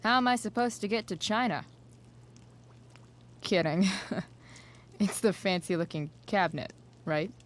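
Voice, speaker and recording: A woman's voice: medium pitch, lively intonation, measured pace, pauses often, natural voice. A woman playing a rebellious, guarded American teenage girl in a video game, with a dry, sarcastic, slangy delivery. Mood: wry, joking. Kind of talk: naturalistic video game acting.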